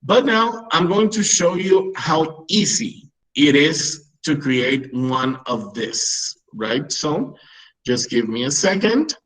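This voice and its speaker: Slow, deliberate man in his thirties. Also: on the high side, big pitch swings, fairly bright tone, rough recording. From Peru. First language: Spanish. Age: 30s. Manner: explanatory, frequent "right?" checks. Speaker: webinar presenter, English teacher. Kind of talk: online teaching webinar.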